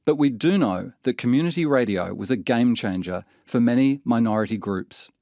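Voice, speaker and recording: A man narrates a documentary from a script as its host. His voice is mid-pitched, with a dry sound free of room echo. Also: lively intonation, normal speed, darkish tone.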